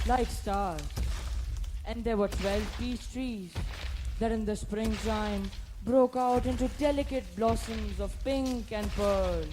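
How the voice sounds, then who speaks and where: Slow, measured young storyteller on stage. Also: medium pitch, darkish tone, slight background noise. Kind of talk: dramatic recited narration in a school play.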